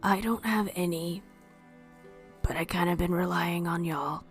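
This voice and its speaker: Woman player talking slowly and casually in a tabletop game, faint music. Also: on the low side, fairly even intonation. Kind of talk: casual chat.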